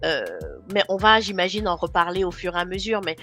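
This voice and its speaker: A woman from Guadeloupe, a guest speaking remotely in a podcast interview, earnest and pausing often on fillers. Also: fairly low pitch, fairly even intonation, fairly bright tone, faint music behind. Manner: earnest, with frequent hesitation fillers. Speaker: guest, founder of an online training company. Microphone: remote call.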